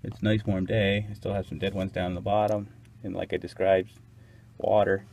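A man's voice: sits low, flat delivery, moderate pace, creaky, darkish tone, a little hiss or hum. A man casually narrating as he inspects his beehives outdoors, hesitant and unsure, thinking aloud. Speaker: beekeeper.

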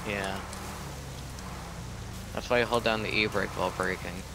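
A man's voice: fairly low pitch, steady tone, moderate pace, gravelly, background noise. A man talking casually over voice chat in an online game.

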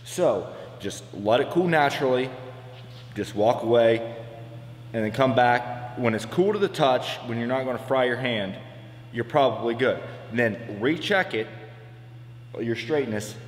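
A man's voice: mid-range voice, animated, unhurried. Welder guy explaining shop stuff, mid voice, roomy echoey shop with long reverb. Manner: plain-spoken, practical, with restarts. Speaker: welder demonstrating fabrication. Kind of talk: casual shop instruction. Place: large echoey metal shop. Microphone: camera mic at a distance.

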